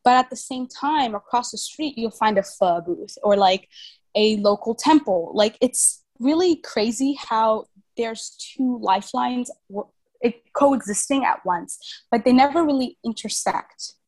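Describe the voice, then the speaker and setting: A woman's voice: a little high, measured pace, a little mellow. A young woman from Vietnam speaks casually as a podcast guest, thinking aloud, rephrasing herself and often saying "like". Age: young adult. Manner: reflective, self-correcting, frequent "like". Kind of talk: casual interview conversation.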